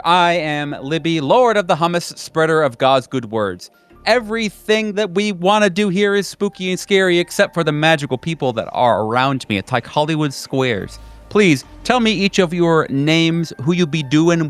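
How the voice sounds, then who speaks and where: Playfully grandiose man with an animated voice. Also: a little high, no room sound. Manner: playfully grandiose, mock-ceremonial. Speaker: host and game master of an actual-play podcast. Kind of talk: casual, jokey podcast banter.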